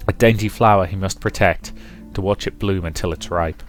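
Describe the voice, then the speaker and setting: A man's voice: low-pitched, normal speed. A man hosting a let's play, reading a visual novel as dramatic, rhythmic narration with some commentary. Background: music.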